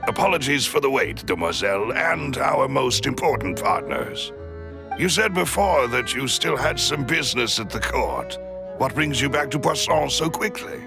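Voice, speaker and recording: A man's voice: mid-range voice, sing-song, normal speed, creaky, music in the background. An actor playing a courteous, composed and loyal male retainer in a video game, speaking in a polite, formal, deferential manner. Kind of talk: video game cutscene acting.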